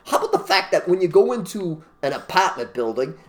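Emphatic ranting male co-host, slightly higher voice, opinionated casual banter. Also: big pitch swings, fairly quick. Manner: ranting, emphatic, mocks others in put-on voices. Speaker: podcast co-host.

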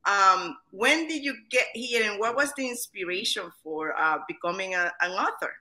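A woman's voice: mid-range voice, lively intonation, normal speed, pushed and bright, rough recording. A woman from El Salvador hosting an interview, introducing and encouraging her guest. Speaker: interview host. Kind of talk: hosted interview.

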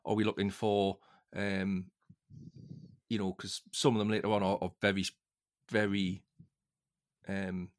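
A man hosting a casual podcast discussion in a halting way, often repeating words as he goes. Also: low voice, flat delivery. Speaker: podcast host.

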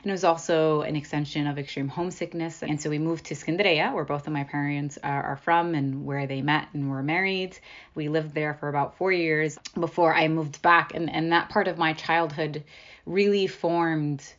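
A woman's voice: low-pitched, steady tone, normal speed, lo-fi sound. A woman journalist from the United States telling personal stories in a thoughtful, reflective way in an interview. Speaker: journalist guest.

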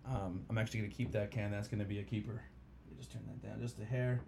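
A man hosting a casual podcast over beers, talking in a relaxed, rambling way with lots of fillers. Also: on the low side, fast talker, vocal fry, a little hiss or hum. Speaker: podcast host.

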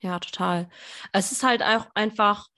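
A woman's voice: mid-range voice, unhurried, sharp tone. A woman co-hosting a podcast as a business coach, chatting casually and enthusiastically, with many fillers. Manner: enthusiastic, gushing, lots of fillers.

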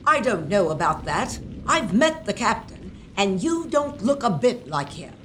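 A woman's voice: mid-range voice, sing-song, a little slow, a bit bright, a little hiss or hum, low-quality recording. A woman playing a brusque, scornful, suspicious middle-aged aunt and guardian in a comic gothic audio drama.

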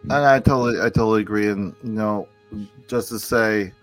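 A man hosting a casual conversation, speaking earnestly and haltingly with frequent restarts. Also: fairly low pitch, no echo, faint music behind. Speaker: podcast host.

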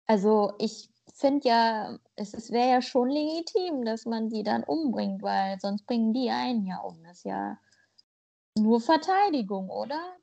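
A woman's voice: on the high side, expressive, a little slow, gravelly, warm tone. A woman born in Germany co-hosting a podcast, talking bluntly and playfully in casual chat. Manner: blunt, playful, spins jokes out. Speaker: podcast co-host.

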